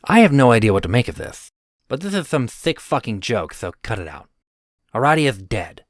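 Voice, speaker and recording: A man's voice: medium pitch, sing-song, moderate pace, no echo, clean studio sound, pitched above the performer's own voice, natural voice. A man voicing a sarcastic, swearing young male troll in an audio drama. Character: foul-mouthed, grieving young male troll.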